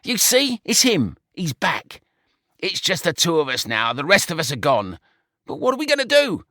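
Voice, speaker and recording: A man's voice: on the high side, huge pitch swings, moderate pace, pushed and bright, no echo, clean studio sound, voice pushed up, heavily acted. A man voicing a lecherous, slovenly male pig who boasts, dismisses and wails. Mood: wailing.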